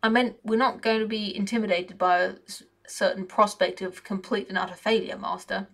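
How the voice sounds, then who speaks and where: A woman voicing a gruff, cheeky old male servant who talks bluntly and colloquially. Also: mid-range voice, fairly even intonation, brisk, fairly bright tone.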